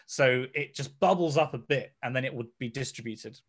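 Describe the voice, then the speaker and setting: A man's voice: mid-range voice, normal speed. A man explaining science casually on an online call, digressing and reaching for analogies.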